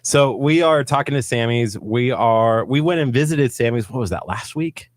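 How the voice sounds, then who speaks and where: A man's voice: mid-range voice, expressive, normal speed. A man with a Texan accent hosting a small local radio show, chatting casually and upbeat with a guest. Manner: chatty, upbeat, trails off while fiddling with social media.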